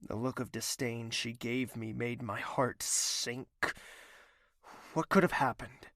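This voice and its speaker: A man's voice: mid-range voice, steady tone, takes it slowly, fairly bright tone, no room sound, clean studio sound. A man playing a lovesick, stubborn young prince in an audio drama, earnest, formal and melodramatic. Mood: anguished, wounded.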